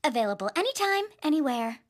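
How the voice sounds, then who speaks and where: A woman's voice: high-pitched, fast talker. A woman playing a perky, confident teenage monster girl in a cartoon, bubbly and a little teasing. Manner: bubbly, excitable, a bit teasing. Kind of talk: animated film voice acting.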